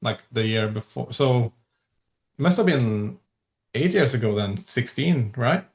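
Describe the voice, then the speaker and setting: A man's voice: medium pitch, expressive, a little slow. A man, a musician guest on a podcast, speaking English. He is hesitant, restarting his sentences and laughing now and then. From Norway. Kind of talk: casual interview chat.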